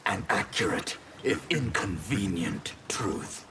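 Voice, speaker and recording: A man's voice: fairly low pitch, expressive, takes it slowly, vocal fry, a bit bright, a little hiss or hum, full character voice. A man playing a haughty, wily water mage in a video game, with a cool, precise, superior manner. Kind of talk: video game fight dialogue.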